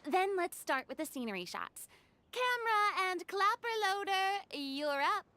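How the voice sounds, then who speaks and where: A woman playing an energetic, bossy young film director in a video game, commanding, emphatic and easily exasperated. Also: very high voice, unhurried, full character voice. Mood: brisk, commanding.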